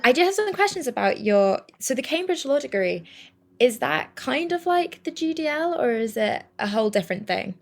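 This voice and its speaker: A woman's voice: high voice, very animated, normal speed. A young adult woman hosting a podcast, chatting casually and enthusiastically with her guest. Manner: chatty, enthusiastic, many fillers. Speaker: podcast host. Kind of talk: casual interview chat.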